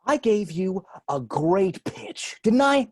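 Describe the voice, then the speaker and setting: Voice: mid-range voice, big pitch swings, slow pace, dry recording, clean studio sound. A performer playing a flamboyant, mockingly sweet male crime boss in an amateur table read over a video call. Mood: mock disappointment. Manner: theatrical, mock-tender, abruptly disgusted.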